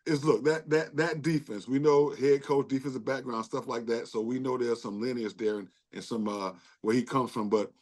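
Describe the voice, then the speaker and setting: Man, average pitch, sports co-host, casual banter, joking, stop-and-start repetition.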